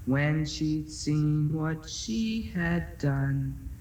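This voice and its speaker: Eerie ghost, extremely slow sing-song, far from the mic, old radio play. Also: female voice, lively intonation, full character voice. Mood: taunting. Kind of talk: 1970s amateur radio drama acting. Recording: distant, noisy, rumbling radio recording.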